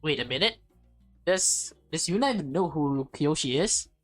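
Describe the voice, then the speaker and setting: A woman streamer reacting excitedly as she plays and reads a visual novel aloud. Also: sits low, moderate pace. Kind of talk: live game reaction and read-aloud. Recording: game music behind the voice. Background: game music.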